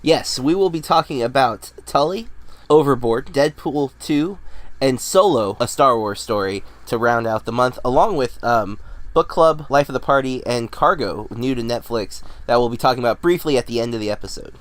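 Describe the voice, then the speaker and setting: A man's voice: medium pitch, normal speed. An American man, around his thirties, co-hosting a casual movie podcast, slightly nasal from a head cold and talking in a loose, rambling way. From United States. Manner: relaxed, rambling with fillers and restarts. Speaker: co-host.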